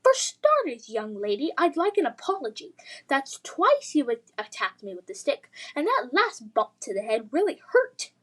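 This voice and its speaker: A haughty dragon from a children's fantasy tale, performed by a woman. The voice is high, with a posh English accent. The diction is refined and fussy, with a prim, exasperated, scolding manner. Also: wildly swooping pitch, normal speed, a bit bright, exaggerated voice. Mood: indignant. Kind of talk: children's fantasy read-aloud.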